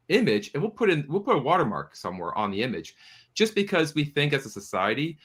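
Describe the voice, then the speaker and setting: A man's voice: medium pitch, a bit bright, breathy edge. A man speaking as a studio producer and guest in a panel interview, explaining things earnestly and with emphasis.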